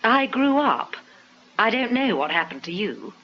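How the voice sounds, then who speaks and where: Sardonic scheming wife, brisk and mocking, very lively intonation, muffled radio drama. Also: female voice, on the high side. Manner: cutting, drily mocking. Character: brisk, sardonic, hard-headed wife and accomplice. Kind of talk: radio comedy drama acting. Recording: muffled radio broadcast copy, faint noise.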